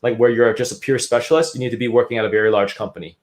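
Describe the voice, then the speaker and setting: Canadian-raised man, guest expert, explains at length with restarts and tag questions, mid-pitched voice. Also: fairly even intonation, very fast. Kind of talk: interview talk.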